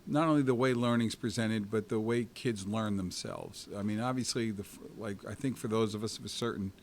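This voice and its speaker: A man's voice: on the low side, fairly quick, slight background noise. A middle-aged man hosting an interview, asking questions conversationally and often restarting them mid-sentence. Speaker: host.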